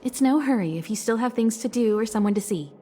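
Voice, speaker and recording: Composed, high-voiced, patient female guide. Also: lively intonation, dark tone. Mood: patient, gentle. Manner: composed, measured, lightly wry, considerate. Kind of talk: English video game dub acting.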